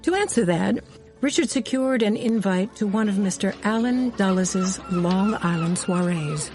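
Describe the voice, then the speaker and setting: A woman's voice: medium pitch, lively intonation, unhurried, natural voice. An actress playing a composed, wry intelligence insider, narrating her memories in a scripted radio drama. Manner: storytelling, knowing, composed. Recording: music loud behind the voice. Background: loud music.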